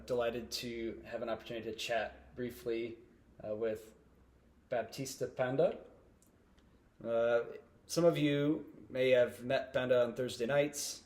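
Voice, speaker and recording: Laid-back pastor hosting an interview. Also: male voice, mid-range voice. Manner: relaxed, filler-heavy, uh and um. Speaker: host, pastor.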